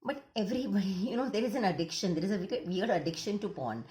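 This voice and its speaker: A woman's voice: mid-range voice, expressive, quick pace, darkish tone, echoey room. A woman counseling psychologist with an Indian accent, Gujarati her first language, talking earnestly to camera and slipping into Hindi mid-sentence. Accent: Indian English. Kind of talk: casual talk to camera.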